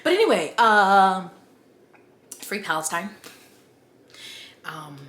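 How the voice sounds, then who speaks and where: A woman's voice: mid-range voice, big pitch swings, slow pace, a bit bright. An American woman chatting casually to her viewers as a book vlogger, informal, conversational and opinionated. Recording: echoey room with faint background noise.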